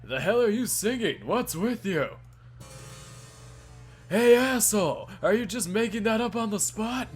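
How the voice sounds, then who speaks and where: Man putting on an angry high-pitched jeering heckler. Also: expressive, a little slow, long pauses, soft background music. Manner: shouted, strained insults.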